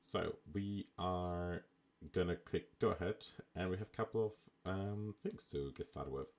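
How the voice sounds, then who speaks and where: A man with an extremely low, raspy voice talks through a game on a live stream. He keeps it relaxed and chatty, thinking aloud with plenty of casual asides into a desktop mic. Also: measured pace. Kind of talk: casual live gameplay commentary.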